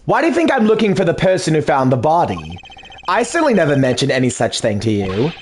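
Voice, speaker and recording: A man voicing a composed, formal male prosecutor who questions a suspect in precise, measured phrases. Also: fairly high pitch, wildly swooping pitch, voice pushed down. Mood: stern. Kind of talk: fan dub of a video game.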